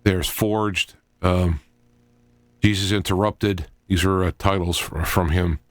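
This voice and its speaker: A middle-aged man hosting an informal solo talk radio show, speaking in a halting, digressive way as he thinks aloud. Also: low-pitched, fairly even intonation, no echo.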